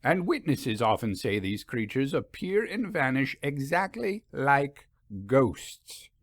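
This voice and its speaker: A man's voice: mid-range voice, sing-song, takes it slowly, no room sound, clean studio sound, full character voice. A man voicing a frank, eager English professor and creature hunter in a storybook, with a scholarly, persuasive manner. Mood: intrigued, suggestive.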